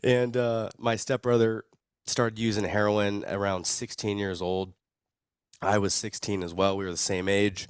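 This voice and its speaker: Very low-voiced young American man. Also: clean tone, dry recording. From Arizona, United States. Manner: laid-back slangy, chuckles at own story. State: sick with a cold. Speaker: podcast guest, recovery video producer. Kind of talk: casual podcast storytelling.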